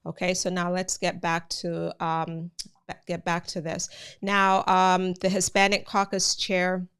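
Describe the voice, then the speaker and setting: A Jamaican woman hosts a live immigration update in a relaxed, chatty way and keeps turning to her viewers. Her voice sits somewhat low and sounds smooth and clear. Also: measured pace. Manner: chatty, addresses viewers as guys, many fillers. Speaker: immigration news host. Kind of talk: live channel talk with viewers.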